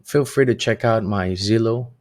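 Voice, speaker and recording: A man's voice: on the low side, moderate pace, darkish tone. A man, talking to camera from a small home studio in an easygoing, earnest way as a real estate agent introducing himself. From Colombia. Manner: easygoing, earnest, loose filler-heavy delivery. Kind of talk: self-introduction vlog. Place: small dim home studio with colored lights.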